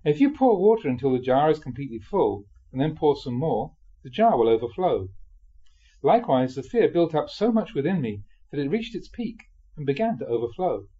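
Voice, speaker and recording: A man reading a spiritual memoir aloud expressively, in a measured way with dramatic pauses. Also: a little high, sing-song, slightly rough, dull audio. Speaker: reader of Buddhist teachings.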